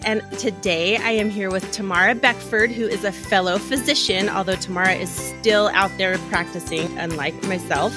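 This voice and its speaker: A woman's voice: medium pitch, fairly bright tone. A woman hosting a podcast, moving between a prepared introduction and casual interview chat. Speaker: podcast host.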